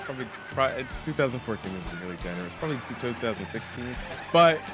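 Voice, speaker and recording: A man's voice: medium pitch, animated, fast talker. A man from New York chatting casually and profanely while live-streaming a racing game. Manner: profane, joking, rambling. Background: game music.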